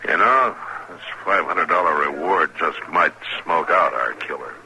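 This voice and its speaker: A stern lawman of the Old West in a radio western, with an extremely deep voice. He speaks in terse, dry, measured phrases. The old recording has clear noise over it. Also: lively intonation. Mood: dry, probing.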